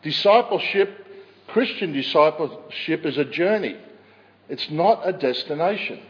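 A man preaches in a measured way, his fairly high voice ringing out with a long reverb tail. The recording sounds dull and muffled, as if captured in a large church sanctuary. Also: animated. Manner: measured preaching with occasional fillers and self-corrections; says he has a loud voice. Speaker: pastor. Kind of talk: expository sermon.

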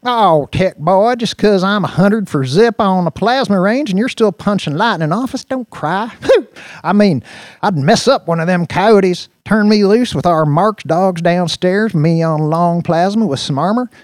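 A man's voice: sits high, measured pace, dry recording, studio quality, pitched above the performer's own voice. A man voicing a stocky, boorish male deputy in an audiobook, bellowing with a cocky, folksy swagger. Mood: cocky, taunting.

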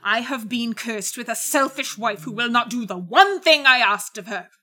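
A woman's voice: mid-range voice, steady tone, measured pace, sharp tone. A woman storyteller voicing a cold, vengeful husband from a legend, sneering and bitter. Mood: sneering spite.

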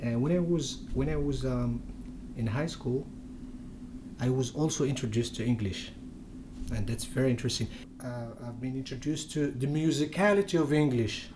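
A man's voice: mid-range voice, normal speed, background noise. A young Moroccan man whose first language is Berber, speaking English in an interview, hesitant, with many fillers and restarts. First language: Berber (Tamazight). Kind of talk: conversational interview.

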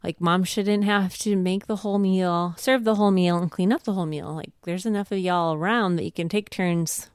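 A woman's voice: lowish voice, steady tone, moderate pace, few pauses, clear, resonant tone, dry recording, studio quality. A woman, a podcast co-host, chatting casually and encouragingly as she shares advice and anecdotes from home life. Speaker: podcast co-host, homeschooling mother.

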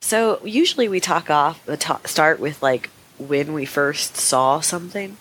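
Raspy-voiced young woman with a cold. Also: deep voice, expressive, measured pace, fairly bright tone, low-quality recording. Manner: chatty, rambling with many fillers. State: sick, raspy voice. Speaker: podcast co-host. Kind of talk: casual podcast chat.

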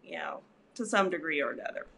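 A woman's voice: deep voice, wildly swooping pitch, quick pace, slightly rough. Reflective woman with many fillers. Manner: warm, reflective, frequent "you know" fillers. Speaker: therapist and author, guest. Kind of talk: conversational interview.

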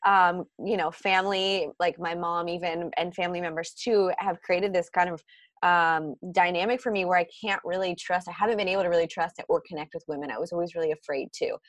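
A woman's voice: on the low side, steady tone, fairly quick, dry recording. A woman co-hosting a casual podcast, speaking hesitantly and reflectively with many fillers.

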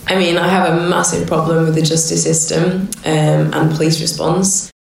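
Low-voiced woman in an echoey hall. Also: little pitch movement, moderate pace, creaky, slight background noise. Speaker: panel speaker. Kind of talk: speech at a conference panel. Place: large conference room. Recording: echoey hall, captured from far off.

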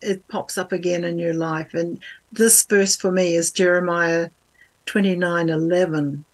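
An older woman from New Zealand with a mixed international accent, speaking as a podcast guest in a measured, earnest way. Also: on the low side, steady tone, low-quality recording. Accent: international, not typically Kiwi. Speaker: guest, Bible translator. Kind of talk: interview answers.

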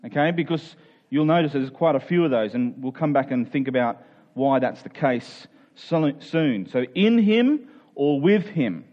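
A man's voice: medium pitch, lively intonation, a little slow. A man preaching in an informal, conversational way, as a pastor speaking to his church. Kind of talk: conversational sermon with everyday illustrations, prayer and scripture reading. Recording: dull, lo-fi sermon recording.

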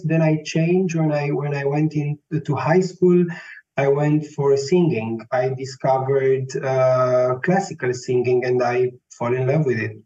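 Brief: Romanian-accented male tenor, 30s, unhurried and searching for words, muffled audio. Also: fairly high pitch, dark tone. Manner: slow, thoughtful, searching for words. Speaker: classical tenor, guest. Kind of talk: reflective interview answers. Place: Vienna. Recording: dull lo-fi sound, faint music.

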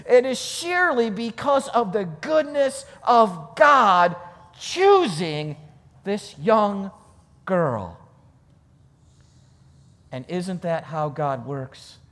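A man preaching a sermon and reading scripture, with emphatic, deliberate phrasing that repeats for weight, recorded with long reverb. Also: very high-pitched, a bit bright.

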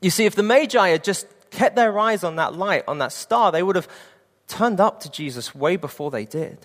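A man's voice: high-pitched, animated, moderate pace, fairly bright tone. Preacher in his twenties, echoing hall. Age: 20s. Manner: earnest personal testimony. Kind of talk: sermon.

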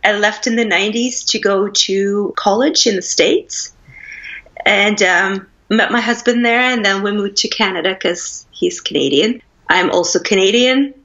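Woman from Russia, food blogger guest, bright forceful voice, a bit muffled. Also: mid-range voice, measured pace. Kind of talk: casual interview chat.

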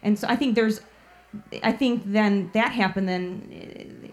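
A woman's voice: medium pitch, steady tone, measured pace. A woman blues guitarist and singer from Chicago, chatting in an interview and filling her talk with "like" and "you know.". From Chicago, USA. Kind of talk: casual interview chat.

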